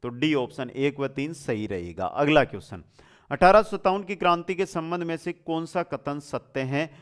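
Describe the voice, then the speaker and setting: A man's voice: on the high side, normal speed, clear, resonant tone. A man teaching an exam lesson in question-and-answer form, repeating answers and addressing students familiarly with rhetorical questions.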